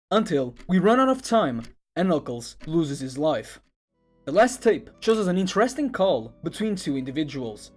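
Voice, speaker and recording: A man's voice: fairly high pitch, darkish tone. A man reading scripted narration in the style of an internet video essay. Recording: music under the voice. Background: background music.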